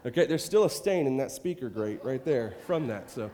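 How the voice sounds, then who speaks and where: A man's voice: mid-range voice, expressive, moderate pace. A man preaching to his church while nursing a cold, speaking in a loose, rambling way full of fillers. His voice sits in the middle range for a man. It rings out with the long tail of a large sanctuary. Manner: warm rambling talk with many fillers and repeated "I tell you". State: has a cold, occasional cough. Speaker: pastor. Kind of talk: informal church address. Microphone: handheld or stage microphone. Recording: reverberant church hall.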